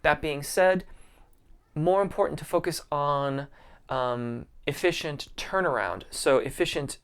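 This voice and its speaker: A man's voice: fairly high pitch, unhurried, a bit bright, smooth. A man, a vocal coach, hosting a casual live-stream Q&A, reading viewer comments and answering in a friendly, appreciative way. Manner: friendly and appreciative, many ums and restarts.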